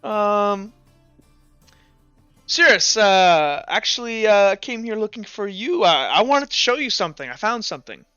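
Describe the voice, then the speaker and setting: A man's voice: high-pitched, lively intonation, a little slow, sharp tone, clean tone, no echo, muffled recording, soft background music, full character voice. A man playing a smug, self-assured man of status in a tabletop game, with a casual, showy manner. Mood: nonchalant.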